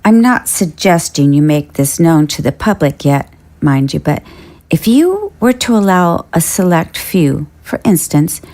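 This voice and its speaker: Actress as respectful nurse, measured and practical, lower female voice, very varied intonation, audio drama. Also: sing-song, darkish tone, full character voice. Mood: urging. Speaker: voice actor.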